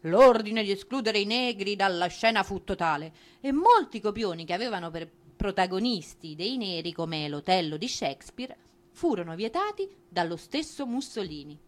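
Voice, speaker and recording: Female theatre narrator: lively swings in pitch, ironic tone, expressive monologue with dramatic pauses. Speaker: stage narrator and performer.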